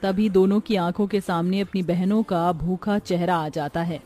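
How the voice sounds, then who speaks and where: Mellow woman narrating a moral story. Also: lowish voice, fairly even intonation, moderate pace, soft background music.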